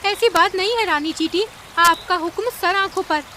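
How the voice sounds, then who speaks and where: A woman's voice: very high-pitched, moderate pace, bright, forceful tone, slight background noise. A woman voicing an earnest, cautious little female ant in a cartoon story. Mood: anxious, respectful. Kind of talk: Hindi animated cartoon story acting.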